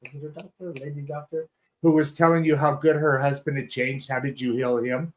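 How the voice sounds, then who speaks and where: A talk show host with a slightly raised pitch, talking in a loose, rambling way. He restarts his sentences often and keeps circling back before he gets to his point. It sounds like a casual home-studio setup. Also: little pitch movement, fairly quick, poor audio. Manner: chatty, rambling with many restarts and fillers. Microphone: video call setup from a home studio.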